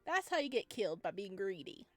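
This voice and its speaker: A woman's voice: mid-range voice, big pitch swings, fairly quick, dry recording. A woman giving chatty, playful commentary on a playthrough, reading lines aloud as she goes. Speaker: game streamer. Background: game music.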